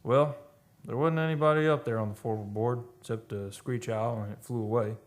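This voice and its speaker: Actor as a folksy American oil rig hand. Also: male voice, medium pitch, fairly even intonation, a little fast, close to an everyday voice. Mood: matter-of-fact storytelling. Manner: folksy, ungrammatical, explanatory. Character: plain-spoken, easygoing oil rig hand telling his tale. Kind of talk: radio play acting and narration.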